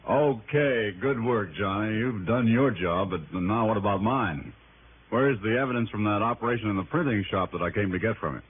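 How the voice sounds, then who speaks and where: An actor playing a gruff, impatient lawman in a 1960s radio drama, curt and commanding. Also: lowish voice, fairly quick. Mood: impatient, doubtful. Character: gruff, impatient male lawman demanding evidence. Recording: narrow-band old radio recording, faint noise.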